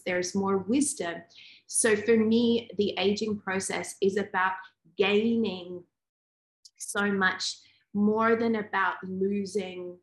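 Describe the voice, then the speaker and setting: Woman, 40s, interview guest; thoughtful, rambling delivery; echoey room. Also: medium pitch. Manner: reflective, many restarts and "like" fillers. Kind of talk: relaxed interview talk. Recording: roomy echo.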